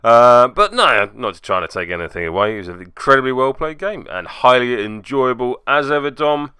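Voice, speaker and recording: A man's voice: mid-range voice, lively intonation, moderate pace, pushed and bright, clear, resonant tone, no echo, studio quality. A man giving wry, self-deprecating voice-over commentary on a tabletop game, with joking asides, as its host.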